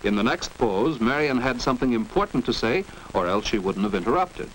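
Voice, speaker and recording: Lively American man narrating an old educational film. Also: mid-range voice, brisk. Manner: didactic, precise enunciation. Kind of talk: 1940s instructional film narration. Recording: noisy 1940s educational film soundtrack.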